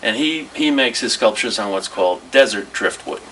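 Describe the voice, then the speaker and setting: A man's voice: fairly low pitch, lively intonation, normal speed, a bit bright, slight background noise. An older man in his sixties explaining things casually as a shop owner, in short matter-of-fact statements. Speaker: gallery shop owner, interviewee. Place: small gallery shop indoors. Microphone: handheld camera a few feet away.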